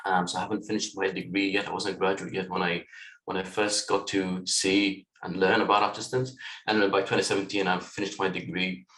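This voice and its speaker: A man in his late twenties from Lebanon, raised in Kuwait, speaks with a fairly low voice. He talks in a relaxed, thoughtful way with plenty of fillers. His call audio carries a roomy echo. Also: brisk. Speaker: guest, software tech lead. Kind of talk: interview conversation.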